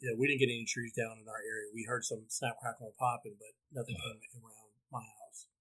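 Flat-voiced male podcast host. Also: medium pitch, brisk, slightly rough, poor audio. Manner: flat, easygoing, many mm-hmms. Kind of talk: casual podcast chat.

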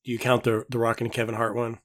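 A man's voice: medium pitch, monotone, fast, no echo, studio quality. A man hosting a podcast in casual chat, easygoing and agreeable. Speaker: podcast host and producer.

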